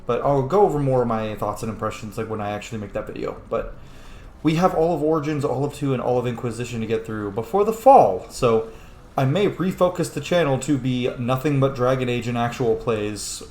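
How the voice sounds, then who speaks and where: A man's voice: mid-range voice, lively intonation, a little fast, darkish tone. Casual, joking man in an echoey room. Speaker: host of a gaming channel. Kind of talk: casual let's play commentary, role-playing in-game dialogue. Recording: roomy echo, faint background noise.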